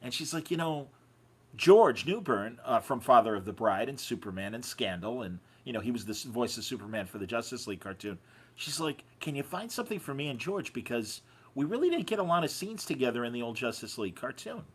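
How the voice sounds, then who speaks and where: A man's voice: mid-range voice, sing-song, fairly quick, husky. A man hosting a podcast in casual conversation, telling anecdotes with enthusiasm. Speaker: podcast host. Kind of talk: casual chat.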